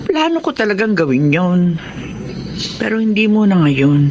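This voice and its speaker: A woman's voice: medium pitch, very animated, moderate pace, highs cut off, background noise, close to an everyday voice. A woman playing an elderly, fretful widowed mother in a Tagalog radio drama, with a plaintive, melodramatic delivery. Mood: wistful, resigned. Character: elderly widowed mother, emotional, fretful over her grown sons. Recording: loud music under the voice.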